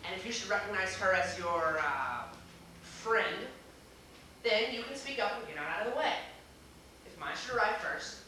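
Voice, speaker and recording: A flustered, polite gentleman acted by a man stumbles anxiously through formal old-fashioned phrases on a theater stage. His voice is bright and keen, heard from a distance in a very echoey hall. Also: high-pitched, lively intonation, normal speed, heavily acted. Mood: indignant, flustered. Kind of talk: amateur stage acting in a comic one-act play. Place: small theater stage, recorded from the audience. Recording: echoey theater, distant camera mic, hissy noise.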